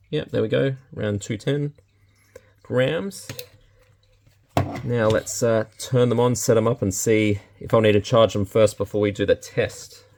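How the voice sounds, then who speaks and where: A man's voice: lowish voice, a little mellow. An Australian man giving a casual, unscripted product demonstration in a laid-back way, thinking aloud as he handles items. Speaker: product reviewer. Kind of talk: casual unboxing and demonstration talk. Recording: clear background noise, handling clicks.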